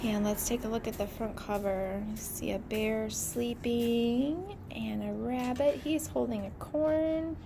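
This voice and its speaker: A woman teacher reading a storybook aloud to children, pausing to comment and ask questions. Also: on the high side, creaky, background noise.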